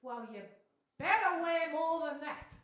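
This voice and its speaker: A woman acts out a domineering wife in live radio theatre. She is high and scornful, taking her time with greedy, sarcastic demands. The sound is very echoey, as in a hall. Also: expressive, measured pace, fairly bright tone, highs cut off. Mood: bossy, sarcastic. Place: on stage in a hall before an audience. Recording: very echoey hall, slightly distant mic.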